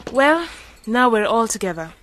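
A woman's voice: a little high, big pitch swings, moderate pace, a bit bright. An actress playing a determined, hopeful young graduate woman in a radio drama, direct and challenging. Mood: friendly. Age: young adult.

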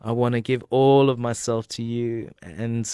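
A man, a painter appearing as a guest, speaking softly in a devotional, storytelling way. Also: medium pitch, level tone, unhurried, clean tone, no room sound, studio quality.

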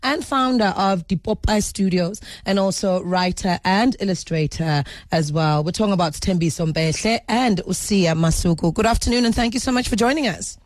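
A South African woman with a medium-pitched voice hosts a radio show from a dry, close studio. She talks conversationally, with a playful, animated manner as she interviews. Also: normal speed, few pauses, no room sound. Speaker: radio host.

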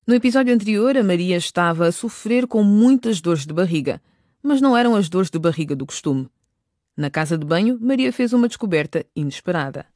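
A woman's voice: fairly low pitch, expressive, a little fast, darkish tone, dry recording, clean studio sound. A woman reading scripted narration as the storyteller of a radio drama. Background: music.

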